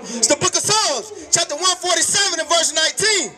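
A man reading scripture aloud in a loud, projected, declamatory style as a preacher's reader. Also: extremely high pitch, animated, fairly quick, music in the background. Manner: projected, declaiming short verses.

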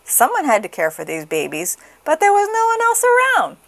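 A woman reading a children's story aloud with expressive, dramatic, deliberate phrasing. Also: very high-pitched. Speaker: storybook reader.

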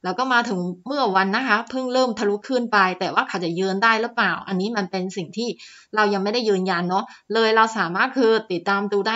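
A woman's voice: medium pitch, bright, forceful tone, lo-fi sound. A woman walking through stock charts as a teacher, chatty, with lots of fillers and polite particles. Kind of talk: screen-share chart walkthrough. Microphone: voice-over on screen recording.

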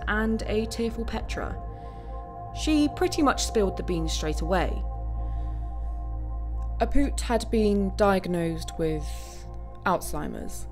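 An actress playing a wistful search and rescue pilot, telling her story in a reflective, conversational audio-play monologue with hesitant pauses. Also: fairly low pitch. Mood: sombre. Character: wistful female search and rescue bush pilot recounting a tragedy.

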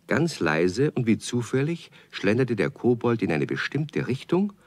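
A man narrating a children's radio play as an expressive storyteller. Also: medium pitch, a little slow.

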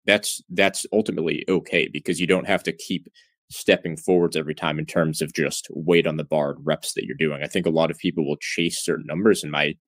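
A man's voice: extremely low pitch, no room sound, studio quality. A young man talking casually and explaining things as a fitness guest on an interview call. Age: young adult. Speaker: fitness YouTuber, podcast guest. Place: indoor room, video call.

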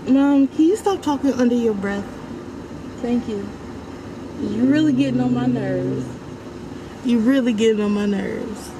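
A woman's voice: a little high, unhurried, pauses often. A young woman pranking her mother with sassy, mock-rude teasing during a casual chat in a car. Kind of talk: casual family banter. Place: inside a car. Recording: loud road noise in a car.

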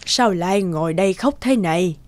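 Woman doing nice old grandma in a cartoon, slow soothing talk, music behind. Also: fairly low pitch, close to an everyday voice. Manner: slow, gentle. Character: kindly, soothing old granny. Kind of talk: dubbed cartoon fairy tale acting.

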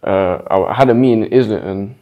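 A young man from South East London with a London accent, answering interview questions in a relaxed, hesitant way with many fillers. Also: lowish voice, fast talker, highs cut off. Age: young adult. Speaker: interview guest. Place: dim studio with dark backdrop.

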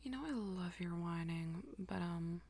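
A woman voicing a jealous, dominant vampire lover in intimate ASMR roleplay, mostly in a breathy whisper with a teasing, commanding manner. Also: fairly low pitch, measured pace, a little mellow, slight background noise. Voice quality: breathy, husky whisper with creaky edges.

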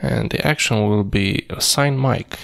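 A man presenting a screencast coding tutorial, thinking aloud in a halting, piecemeal way with a creaky, gravelly voice. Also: fairly low pitch, a bit bright. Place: indoors at a computer, screen recording.

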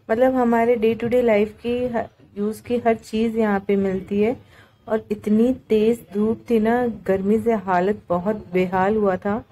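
A woman's voice: medium pitch, dark tone, poor audio. A woman narrating a vlog in a casual, chatty, conversational way. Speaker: market vlogger.

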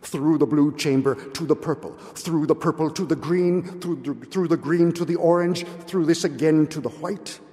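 An older man giving a solemn, deliberate, theatrical reading of literature from a podium in a hall. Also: fairly high pitch, dark tone. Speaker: reader at a public event. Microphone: gooseneck podium microphone. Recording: long hall reverb.

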